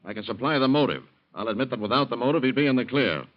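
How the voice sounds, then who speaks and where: Actor as cocky sneering American crook boss, vintage radio. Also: male voice, medium pitch, lively intonation, very fast, close to an everyday voice. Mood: smug, threatening. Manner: slangy, taunting, tough-guy talk. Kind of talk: old-time radio crime drama acting. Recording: dull, lo-fi old radio recording.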